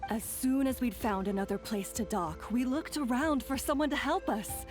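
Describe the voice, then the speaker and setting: A woman's voice: on the high side, moderate pace, music in the background, close to an everyday voice. An actress playing a concerned female companion in a video game. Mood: explaining.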